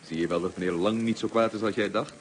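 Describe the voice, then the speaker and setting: Man acting deep-voiced, genial, devoted husband. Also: steady tone, quick pace, natural voice. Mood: teasing. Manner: jovial, sociable. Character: genial, kindly husband, gently teasing and devoted. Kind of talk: radio drama acting. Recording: noisy old radio play recording.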